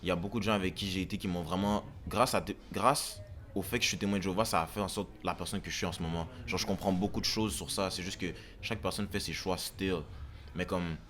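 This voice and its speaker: A man's voice: low voice, fairly even intonation, fast talker, a bit bright. A young man with a Quebec French accent, a podcast guest earnestly repeating his point and mixing English into his French. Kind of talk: casual chat.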